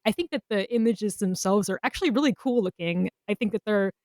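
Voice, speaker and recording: A woman's voice: medium pitch, brisk, darkish tone, dry recording, studio quality. A woman co-hosting a podcast in casual chat, informal, giggly and enthusiastic. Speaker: podcast co-host.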